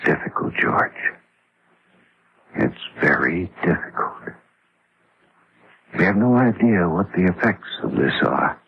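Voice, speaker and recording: An actor playing a grave, knowing doctor at a jungle outpost in an old radio horror drama, explaining in a measured, serious way. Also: male voice, deep voice, very animated, creaky, full character voice. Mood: somber, uneasy. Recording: noisy, muffled old radio drama recording.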